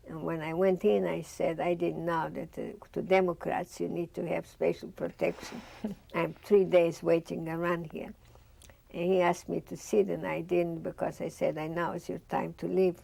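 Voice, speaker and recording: A woman's voice: on the low side, gravelly, darkish tone, no room sound. An older woman from Bessarabia with a Russian accent, telling her life story in a seated studio interview and acting out the dialogue she remembers. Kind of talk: oral history interview. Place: studio with dark curtain backdrop, seated.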